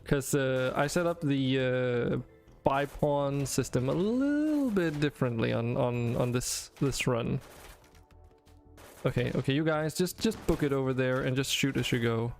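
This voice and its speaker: A man's voice: mid-range voice, lively intonation, unhurried, creaky, soft background music. A young Danish man chatting to his viewers while streaming a game, rambling with many fillers and restarts. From Denmark. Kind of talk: casual game stream talk to chat.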